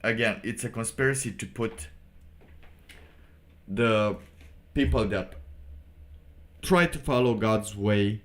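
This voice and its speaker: A man hosting a casual solo livestream, giving sardonic commentary in a halting way and repeating phrases for emphasis. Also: lowish voice, fairly bright tone. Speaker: livestream host.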